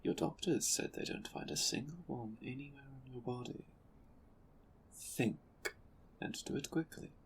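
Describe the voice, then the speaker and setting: A man playing an imperious, menacing board leader in an audio drama, speaking in a condescending, deliberate, sardonic way. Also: medium pitch, a little hiss or hum, natural voice. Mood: stern, impatient. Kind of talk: audio drama roleplay acting.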